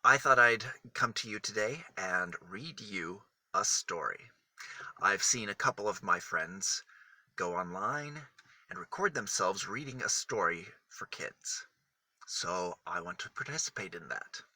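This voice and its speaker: Bright-voiced man reading a children's story. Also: deep voice, expressive, no echo. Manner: deliberate, emphatic phrasing with single words set apart. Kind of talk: picture-book read-aloud for small children.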